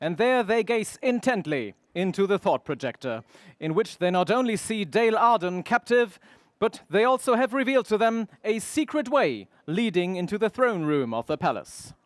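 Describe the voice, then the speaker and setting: A man's voice: sits high, very animated, moderate pace, no room sound. A man playing the dramatic, suspenseful announcer of a 1930s-style radio adventure serial. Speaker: narrator.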